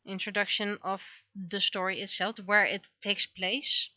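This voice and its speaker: A woman's voice: mid-range voice, little pitch movement, a little slow, sharp tone, dry recording. A woman giving casual livestream commentary as she shows her own creative work. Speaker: livestreamer, webcomic and visual novel creator.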